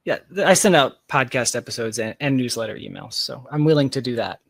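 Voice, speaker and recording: A man's voice: medium pitch, lively intonation, brisk, creaky, dry recording, studio quality. A man speaking as an interview guest in casual, reflective conversation, searching for his phrasing as he goes. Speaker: interview guest, tech founder.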